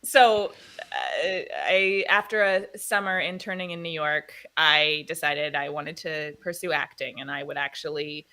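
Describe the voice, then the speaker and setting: Woman, thirties, interview guest, meandering chat, often laughing, slightly low voice. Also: fairly even intonation, a little slow, bright, forceful tone. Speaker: guest, actor and producer.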